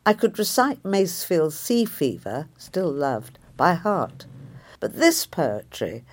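A woman, a writer reading a reflective personal essay in a measured, thoughtful way. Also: fairly low pitch, big pitch swings. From Liverpool area, England.

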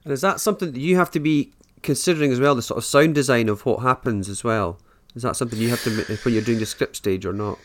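A man's voice: medium pitch, quick pace, hardly any pauses. A man hosting an interview, asking long, meandering questions full of hesitations. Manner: rambling, hesitant questions with fillers. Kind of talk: conversational interview.

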